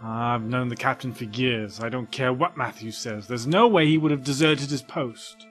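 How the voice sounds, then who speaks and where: A man's voice: medium pitch, moderate pace, faint music behind, natural voice. A man playing an insistent, loyal spaceship crewman in an audio drama, earnest and emphatic.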